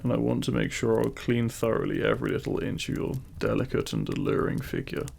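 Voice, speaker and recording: A man voicing a devoted, teasing demon king in an intimate romantic audio roleplay, speaking tenderly in courtly, formal phrasing with a gravelly voice. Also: mid-range voice, fairly even intonation, fairly quick, a little mellow, slight background noise. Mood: seductive, tender.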